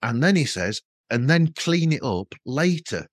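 A man's voice: mid-range voice, big pitch swings, a little slow, dry recording, studio quality. A man hosting a podcast, chatting casually in a rambling, anecdotal way. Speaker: podcast host. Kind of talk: casual conversational interview.

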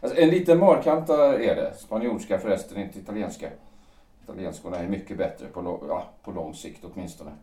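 Give the part of a cynical, vain man of letters in a period radio play, acted by a man. His voice is low and mellow, with wry, musing delivery. It sounds set back from the microphone. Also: natural voice. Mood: scoffing, amused. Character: cynical, vain, world-weary male playwright, teasing about love. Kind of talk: period stage play as audio drama. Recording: roomy echo, distant from the mic.

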